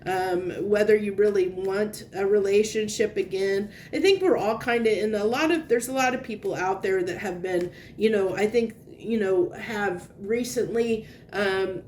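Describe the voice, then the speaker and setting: A stuffed-up, sniffly woman talks through a tarot reading in a loose, wandering way. She circles back on her thoughts and offers gentle reassurance. A faint low rumble sits underneath her voice. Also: moderate pace, few pauses. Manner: meandering, searching for words, gentle reassurance. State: congested, sniffly. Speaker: tarot reader. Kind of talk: casual tarot reading to camera.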